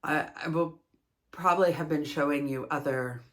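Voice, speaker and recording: A woman's voice: deep voice, moderate pace. A woman from Canada with a Canadian accent, chatting casually as a host reviewing yarn, rambling and laughing at herself. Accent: Canadian English. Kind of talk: casual chat, product review.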